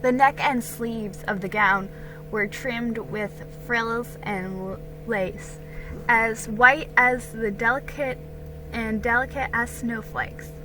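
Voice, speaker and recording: A woman giving an expressive, deliberate dramatic reading of a children's historical storybook. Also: a little high, music in the background, rough recording. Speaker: storybook reader.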